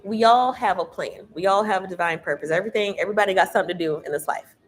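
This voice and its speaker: A woman with a loud, carrying voice giving a casual tarot reading, emphatic and preachy, swearing freely. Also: medium pitch, very fast. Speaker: tarot reader.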